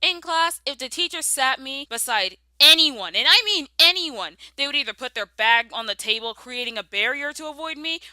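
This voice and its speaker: A woman's voice: a little high, bright, forceful tone, dry recording. A young American woman narrating a scripted personal story with emphatic, dramatic delivery. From southern United States.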